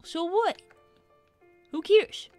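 A woman's voice: extremely high pitch, very slow, a little mellow, no room sound, exaggerated voice. A woman voicing a young, brainy cartoon fox boy who chats in a sassy, self-assured way as a gaming livestream host. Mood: defensive, assured. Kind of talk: in-character gaming livestream chat. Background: game music.

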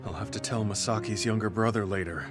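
Man playing a grizzled game soldier, middle-aged, earnest, blunt, lowish voice, soft music under it. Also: quick pace, lightly acted. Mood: serious. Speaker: game character. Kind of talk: game cutscene voice acting.

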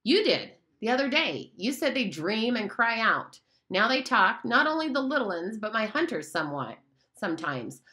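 A woman's voice: a little high, sing-song, moderate pace, pushed and bright, pitched above the performer's own voice. A woman voicing a boastful, bossy boy who leads the hunters, talking in a scornful, blustering way.

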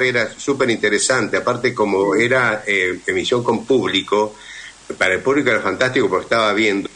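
A man's voice: on the low side, bright, forceful tone. A middle-aged man with an Argentine accent, talking conversationally as a guest in a radio interview. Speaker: guest voice actor and dubbing teacher.

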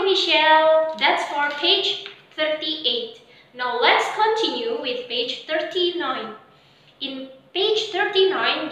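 Young female English teacher, bright high voice, slow instructional delivery in a reverberant classroom. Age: young adult. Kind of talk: instructing a pupil to camera. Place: bare indoor classroom before a whiteboard. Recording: very echoey room.

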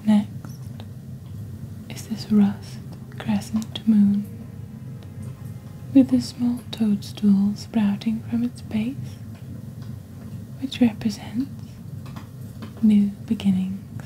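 A young woman presenting products in a soft, breathy, near-whispered ASMR delivery, deliberate and scripted, close to the microphone. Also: mid-range voice, fairly even intonation, a little hiss or hum, poor audio. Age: young adult. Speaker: ASMR host playing a shopping channel presenter.

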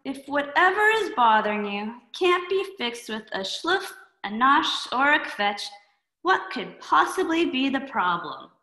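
Always-sleepy girl voiced by a woman, storybook, high, yawning, drawn-out, roomy. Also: very animated, sharp tone, heavily acted. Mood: drowsy advice. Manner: yawny, drawn-out, high girlish voice.

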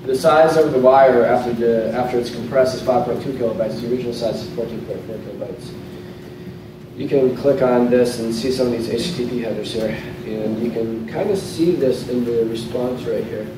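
A man in his thirties speaks at a lectern in a hotel conference room, talking loosely and often restarting his sentences as he walks the audience through a demo. His voice bounces around a very reverberant room and reaches the camera from a distance, with plain steady noise underneath. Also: medium pitch, a little fast. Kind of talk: casual technical talk with live demo. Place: hotel conference room, in front of a projector screen. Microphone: lectern mic, recorded from a camera at the back of the room. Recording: very echoey room, voice from far off, clear noise.

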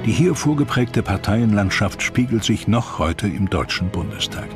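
A man's voice: lowish voice, expressive, moderate pace, over music. A man reading scripted narration for a historical documentary.

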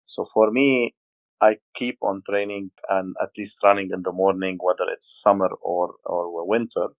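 A man's voice: deep voice, little pitch movement, moderate pace, dry recording. A man from Lebanon in his forties, a podcast guest telling stories in casual talk with many hesitations. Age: 40s. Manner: hesitant, many fillers, storytelling.

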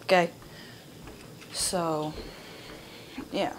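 A woman's voice: fairly low pitch, steady tone, drawn-out words. A woman giving casual, informal, apologetic commentary while filming with a handheld camera. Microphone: handheld camera or phone. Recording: noisy handheld camera recording.

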